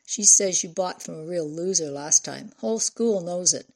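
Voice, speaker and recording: Woman as cocky young male biker, taunting, slangy swagger, creaky, story dialogue. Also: on the low side, moderate pace, darkish tone. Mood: taunting, sly.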